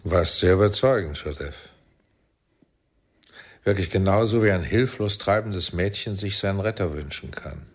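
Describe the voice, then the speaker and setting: An actor playing a commanding, sardonic older intelligence chief in a radio drama, probing and deliberate. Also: male voice, low-pitched. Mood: paternal, sly. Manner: deliberate, probing, swinging from soft to barking. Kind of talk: German radio drama acting.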